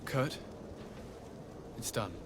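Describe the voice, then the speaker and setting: An actor playing an earnest young nobleman and dutiful son in a video game, polite, measured and formal. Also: very noisy. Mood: calm, courteous. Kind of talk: video game drama acting.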